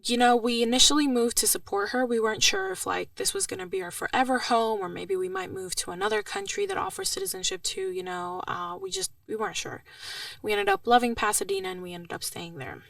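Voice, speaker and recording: A woman in her twenties whose first language is Arabic tells her story in an animated, swooping way, with a bright, sharp tone and heavy vocal fry. She talks casually, full of fillers, as a podcast guest. The voice sits a little off the mic in a dry, dead room. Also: sits high, a little fast, dry recording, recorded from a distance. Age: 20s. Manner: expressive storytelling with frequent fillers. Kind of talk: casual interview chat.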